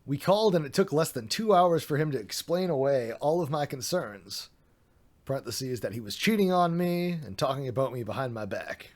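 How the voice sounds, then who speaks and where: A man's voice: mid-range voice, animated, normal speed, darkish tone. Sarcastic man reading aloud on stream. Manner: sarcastic, mocking asides. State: voice getting a little worn. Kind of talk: reading a document aloud with commentary.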